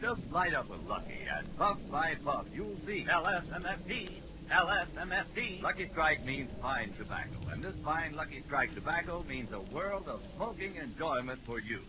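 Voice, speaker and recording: A man's voice: fairly high pitch, big pitch swings, moderate pace, few pauses. A man with an American accent reading a 1940s radio commercial as an announcer. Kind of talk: 1940s radio advertising read. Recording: noisy old 1940s radio broadcast.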